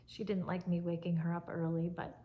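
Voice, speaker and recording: A woman in her fifties, an English teacher, talking gently and fondly to camera in a fireside chat at home. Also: lowish voice, flat delivery. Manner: warm, soft-spoken and unhurried, with fond reflective pauses. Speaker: English teacher, channel host. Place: living room by a fireplace, indoors. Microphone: camera at chest height, seated.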